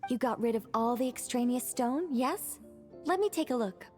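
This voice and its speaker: A young woman mage from a video game, played by an actress, with a fairly high voice. She is composed and playful, telling her tale with theatrical flair over music. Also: animated, moderate pace, darkish tone, close to an everyday voice. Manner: theatrical storytelling. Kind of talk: video game voice acting, dramatic narration.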